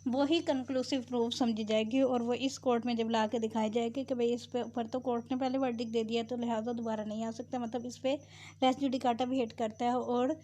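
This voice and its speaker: A woman with a fairly high voice and a Pakistani accent teaches like a tutor. She moves between deliberate reading and informal explanation. The recording is amateur, with faint noise behind her. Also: flat delivery, mellow. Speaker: law tutor. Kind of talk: explanatory lecture, reading statute aloud and explaining it.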